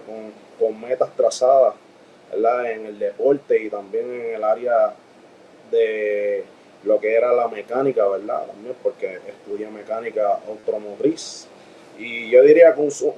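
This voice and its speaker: A man in his thirties with a Puerto Rican accent, giving a personal religious testimony as a guest, peppering his speech with tag questions and praise. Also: lowish voice. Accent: Puerto Rican Spanish. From Puerto Rico. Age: 30s. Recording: dull lo-fi audio, faint background noise.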